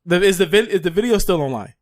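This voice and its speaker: A man's voice: sits high, lively intonation, quick pace, dry recording. A man hosting a podcast, asking questions in a casual, slangy, profane conversational style. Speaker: podcast host. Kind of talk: casual chat interviewing.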